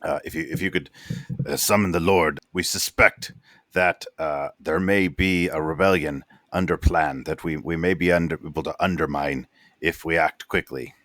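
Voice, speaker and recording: A man voicing a male soldier who reports to a minister in a halting, deferential way. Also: a bass voice, steady tone, fairly bright tone, dry recording, studio quality.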